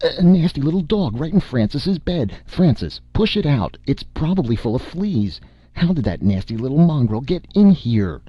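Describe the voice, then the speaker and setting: A man voicing a shrill, haughty society mother who is disgusted by a dog. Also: big pitch swings, moderate pace, breathy edge, no echo, highs cut off.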